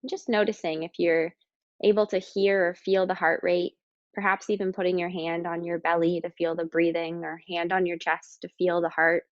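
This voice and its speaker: Woman guiding a calm meditation, muffled recording. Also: fairly low pitch, no room sound. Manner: calm, slow, instructing with pauses. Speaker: meditation guide. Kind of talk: guided meditation.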